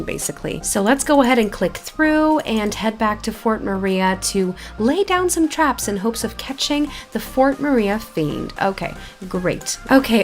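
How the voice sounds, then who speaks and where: A woman giving casual, chatty and expressive gameplay commentary as a video creator. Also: on the high side. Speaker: video game YouTuber. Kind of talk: casual gameplay commentary, reading quest dialogue aloud. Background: background music.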